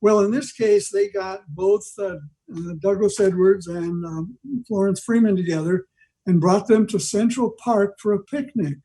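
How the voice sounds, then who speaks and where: Older man, high-pitched mellow voice. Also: unhurried, husky, low-quality recording. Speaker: club member giving a presentation. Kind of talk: informal talk on old radio history. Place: video meeting from home. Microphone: video call.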